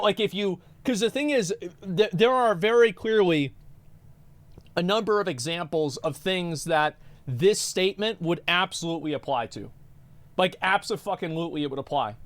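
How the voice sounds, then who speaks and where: A man's voice: sits high, expressive, moderate pace, dry recording. A man streaming, reacting to videos in rambling, profane casual commentary, often stammering and repeating words.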